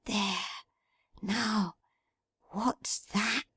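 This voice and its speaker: A woman voicing a cheerful young woman who teases her father in a bright, eager, playful way. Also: sits high, expressive, slow pace, no echo, voice pushed up. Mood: hushed, teasing.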